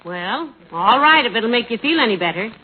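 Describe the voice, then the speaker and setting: An actress playing a kindly, wry, sensible wife with a folksy manner in 1940s radio comedy style. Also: female voice, fairly high pitch, lively intonation, normal speed, husky. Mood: gently correcting. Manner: folksy, wry, gently correcting. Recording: tinny, noisy 1940s radio recording.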